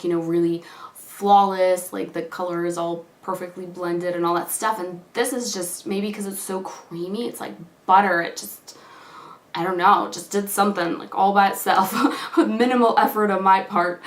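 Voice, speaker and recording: Chatty woman with a cold-roughened voice. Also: fairly low pitch, echoey room. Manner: chatty, gushing, many fillers like "like" and "you know". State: slightly hoarse from a cold. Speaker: beauty vlogger. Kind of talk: casual product review to camera.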